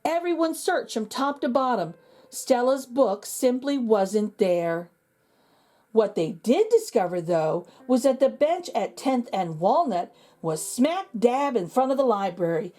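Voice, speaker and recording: A woman reading a children's storybook aloud in an expressive, emphatic way. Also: a little high, measured pace. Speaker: children's book reader. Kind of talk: storybook read-aloud narration.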